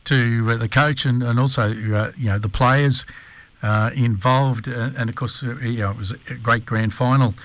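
A man's voice: medium pitch, fairly even intonation, moderate pace, a bit bright. A man with an Australian accent hosting a morning radio show, chatting matily with a guest and filling gaps with lots of ums and uhs. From Hunter Valley, New South Wales, Australia. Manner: matey, chatty, many fillers. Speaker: radio host. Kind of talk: casual radio interview.

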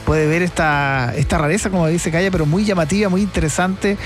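A man's voice: a little high, brisk, soft background music. A man with a Chilean accent hosting a show and interviewing guests in a casual, conversational way. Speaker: radio show host, interviewer.

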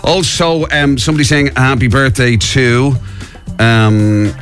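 A man's voice: mid-range voice, steady tone, measured pace, soft background music. An Irish man hosting morning radio, chatty and giggly, with plenty of fillers. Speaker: radio host. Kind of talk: casual morning radio banter. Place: radio studio.

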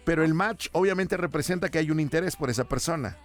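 A man's voice: on the high side, fast talker, soft background music. A Mexican man hosting a radio call-in show, teasing and affectionate with his guests. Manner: playful, teasing and affectionate with callers. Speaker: radio host. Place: radio studio.

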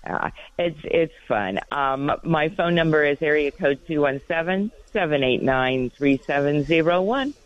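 A woman calling in to a radio show, brisk and friendly. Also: deep voice, animated, no room sound, dull audio. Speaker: caller. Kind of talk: phone-in chat.

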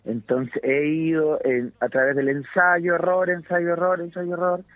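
A Chilean man in his fifties, a comedian and guest, chatting in a relaxed, halting way and often repeating words while he searches for them. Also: on the high side, no echo. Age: 50s. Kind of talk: relaxed interview chat. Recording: dry tinny phone line.

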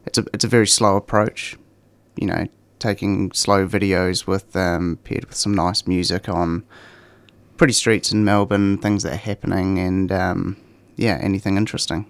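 A young man with a New Zealand accent, chatting as a guest on a talk show with a relaxed manner and lots of ums. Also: low-pitched, moderate pace, no room sound. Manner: relaxed, many ums and fillers. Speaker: guest, cycling content creator. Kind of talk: casual interview chat.

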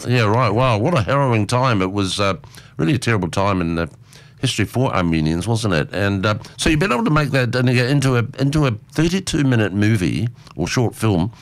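A man's voice: lowish voice, animated, fairly quick. A man hosting a conversational interview, asking short follow-up questions and laughing often. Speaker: radio interviewer.

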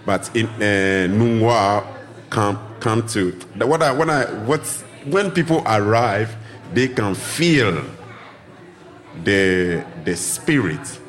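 A man from Ghana who teaches drumming, answering radio interview questions in loose, searching phrases full of restarts. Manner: loose, searching phrasing with restarts, acts out greetings in high voices. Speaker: drumming teacher and tour guide.

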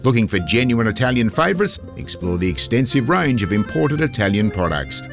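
A man reading a scripted radio sponsor advertisement in a smooth promotional manner. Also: mid-range voice, sing-song, fairly quick. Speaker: advertisement voice-over. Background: guitar music.

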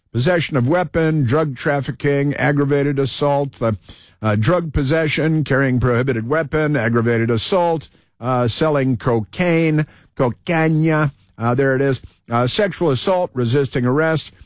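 Male political talk host, slightly high voice, dry close sound, sarcastic and combative delivery. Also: dry recording. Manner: sarcastic, combative, many fillers. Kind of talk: monologue reading from a list.

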